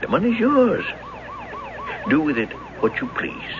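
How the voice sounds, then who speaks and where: A man's voice: very high-pitched, huge pitch swings, measured pace, heavily acted. An actor in a radio drama playing a genial, folksy old retired bank man who coaxes like a crafty confessed robber. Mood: coaxing, persuasive. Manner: folksy, coaxing. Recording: dull, noisy old radio broadcast.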